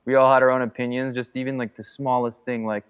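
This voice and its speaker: A young man talks as a podcast guest in a loose, rambling way, with fillers all through his talk. His voice is smooth and clear. He has a middle pitch. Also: little pitch movement, quick pace, dull audio. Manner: rambling, full of "like", chuckling. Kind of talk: casual podcast interview.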